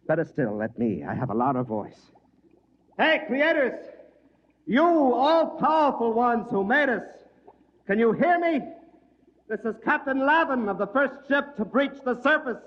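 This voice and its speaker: Bitter sardonic ship captain, 50s radio drama, big swooping pitch, muffled old recording. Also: male voice, big pitch swings, measured pace, heavily acted. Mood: mocking, shouting. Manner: sardonic, declamatory. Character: bitter, defiant male captain of a tiny ship. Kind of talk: 1950s radio drama acting.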